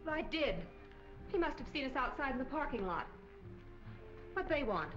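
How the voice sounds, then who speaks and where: A tough, curt moll who won't be pushed around, in an old crime picture. Her voice sits fairly high, with music behind it on a muffled 1940s soundtrack. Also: very animated, normal speed, fairly bright tone. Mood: defiant. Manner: curt, cool, unafraid. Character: hard-boiled, defiant gangster's moll wanting out. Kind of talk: 1940s crime film acting.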